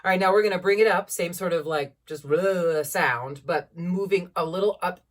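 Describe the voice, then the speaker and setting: A woman in her thirties with a low-placed speaking voice, leading a singing warm-up at home in an encouraging, instructive way. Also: normal speed, far from the mic. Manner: encouraging, instructive, demonstrates vocal sounds. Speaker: voice teacher. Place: small room at home with a piano.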